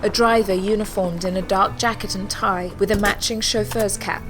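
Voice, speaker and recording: A woman's voice: mid-range voice, steady tone, normal speed, music in the background. A woman delivering scripted narration for a sci-fi audio drama as its storyteller.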